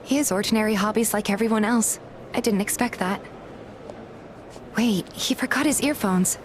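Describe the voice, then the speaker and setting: A woman playing a curious, polite young game heroine, narrating in the first person and speaking her dialogue in an earnest, gentle manner. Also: mid-range voice, moderate pace, a little hiss or hum, close to an everyday voice. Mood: earnest, reflective.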